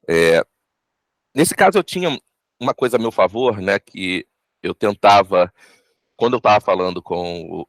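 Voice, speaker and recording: A man's voice: on the low side, no echo. A Brazilian man, a voice actor, answering an interview reflectively and searching for his words.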